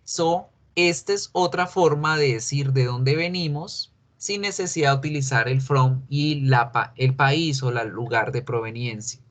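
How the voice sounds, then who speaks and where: A man's voice: mid-range voice, measured pace, hardly any pauses, fairly bright tone. Colombian man teaching English, repeating points. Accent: Colombian Spanish. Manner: repeats key points, mixes Spanish and English. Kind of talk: recorded online class.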